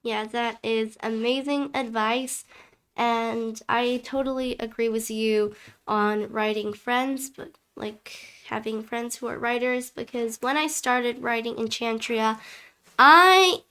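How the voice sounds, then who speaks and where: A woman's voice: on the high side, steady tone, slow pace, sharp tone, clear, resonant tone. A young woman hosting an interview, chatting casually with lots of likes. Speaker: host.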